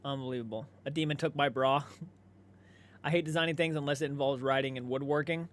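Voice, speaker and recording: A man's voice: medium pitch, fairly even intonation, brisk. A man, maybe in his thirties, chatting casually as a livestream host, laid-back and conversational, swearing freely and responding to viewer comments.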